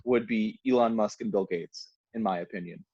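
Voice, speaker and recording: A man's voice: on the low side, moderate pace, mellow, slightly rough, dry recording. Man, twenties, stammering, agreeable, casual chat, dry lo-fi sound. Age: 20s. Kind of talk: casual podcast banter.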